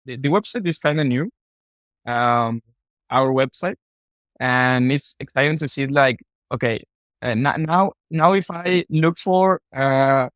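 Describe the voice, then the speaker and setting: A man from Mexico with a Mexican Spanish accent, a native Spanish speaker, talking casually as a podcast guest in halting, repetitive phrases. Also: mid-range voice, fairly bright tone, no echo. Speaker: podcast guest, content creator. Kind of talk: casual interview talk.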